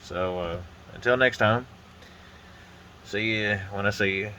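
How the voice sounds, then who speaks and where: A man's voice: fairly low pitch, a little slow, slight background noise. A man with a stuffy nose from a sinus infection, casually talking through a model build at a table, with frequent uh's and restarts. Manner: rambling, relaxed, frequent uh and restarts. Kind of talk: casual hands-on build commentary. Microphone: phone on a holder arm.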